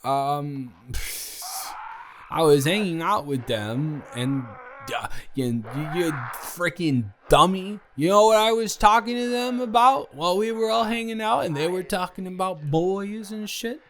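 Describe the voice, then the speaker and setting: A man's voice: high voice, unhurried, fairly bright tone. A man playing a drunk young roommate secretly in love, rambling and stammering defensively in an improvised roleplay audio drama. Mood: flustered, exasperated.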